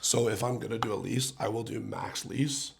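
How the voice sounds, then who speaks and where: A man from Ontario, Canada, a rapper guesting on a podcast, talking in a laid-back, joking way with a hoarse, worn-out voice. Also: lowish voice, steady tone, normal speed, background noise. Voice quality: gravelly. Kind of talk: casual podcast chat.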